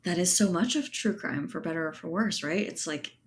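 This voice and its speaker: A woman's voice: on the low side, brisk, slight background noise. A woman speaking as a writer being interviewed, reflective and conversational, often checking in with "right" and "you know". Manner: thoughtful, hedging, often ends phrases with right or you know. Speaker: podcast guest, writer and editor.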